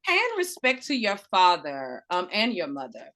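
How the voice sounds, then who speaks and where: A woman's voice: lowish voice, huge pitch swings, moderate pace, sharp tone. A woman radio host interviewing a guest, enthusiastic and playful, laughing often. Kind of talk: casual interview hosting.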